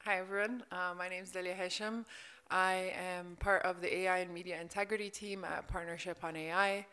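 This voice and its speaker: A young adult woman introducing herself on a conference panel in a measured, steady way. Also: lowish voice, smooth. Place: conference hall stage. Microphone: table microphone.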